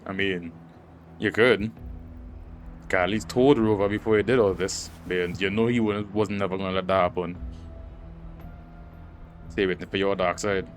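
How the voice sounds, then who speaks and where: Casual, low-leaning male gaming streamer. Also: steady tone, moderate pace, pauses often, faint music behind. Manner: informal, slangy reactions. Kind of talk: casual game commentary.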